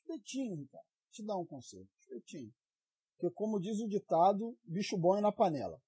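Husky joking male podcast host. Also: high voice, very animated, warm tone. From Rio de Janeiro. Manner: joking, teasing, quick asides. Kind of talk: casual podcast banter.

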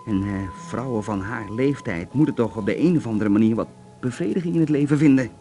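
A man's voice: medium pitch, brisk, warm tone, faint music behind, close to an everyday voice. An actor playing a knowing, gossipy barman in a radio drama, confiding and insinuating in a conversational way.

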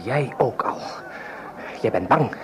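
A defiant young french revolutionary in an old radio play, scornful, pitch all over the place, noisy recording. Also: male voice, animated, takes it slowly. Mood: defiant, taunting. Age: young adult. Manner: impassioned, declamatory, scornful. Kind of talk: Dutch radio play acting.

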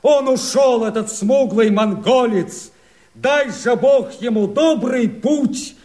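An actor playing a fiery, defiant rebel Cossack, declaiming verse in a strained, ringing, oratorical voice for a radio drama. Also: male voice, very high-pitched. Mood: defiant, stirring. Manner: impassioned, oratorical, drawn-out. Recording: crowd clamour and music behind voice. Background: shouting crowd, music.

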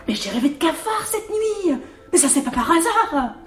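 An actress playing a world-weary, babying mistress of the house in a theatrical drama, cooing and exclamatory. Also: female voice, very high-pitched, sing-song, normal speed, reverberant, music in the background. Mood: exasperated. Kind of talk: French theatrical drama acting.

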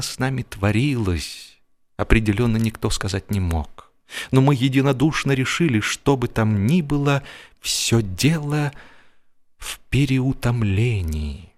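A man narrating a humorous literary audiobook with expressive, theatrical phrasing and mock seriousness. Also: medium pitch.

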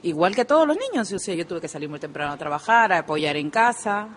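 A woman in her thirties with a Peruvian Spanish accent, a guest on a radio interview. She speaks in a calm, matter-of-fact way. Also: on the low side, quick pace, a little hiss or hum. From Peru. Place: local radio studio.

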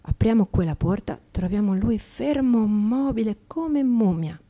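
An actress playing a brash, cheerful Slovak immigrant prostitute in a theatrical monologue, chatting in broken Italian with a Slovak accent and frequent tag questions. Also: female voice, on the high side, lively intonation, measured pace, dark tone. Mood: playful, animated.

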